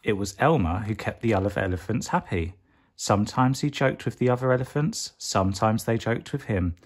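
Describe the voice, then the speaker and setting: A man reading a children's picture book aloud as a bedtime story, expressively and deliberately, stretching key words for emphasis. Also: lowish voice, expressive.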